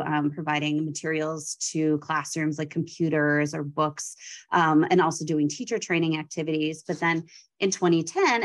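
A woman with a notably low voice speaks as a guest on a podcast, explaining her organization. She sounds enthusiastic and talks freely, often pausing on filler sounds. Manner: warm, enthusiastic, frequent um fillers. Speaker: executive director, guest. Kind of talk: podcast interview.

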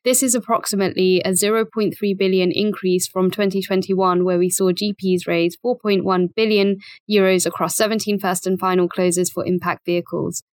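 A woman's voice: medium pitch, steady tone, normal speed, hardly any pauses, darkish tone, no room sound. A woman speaking as a reporter and podcast guest, reading out prepared material.

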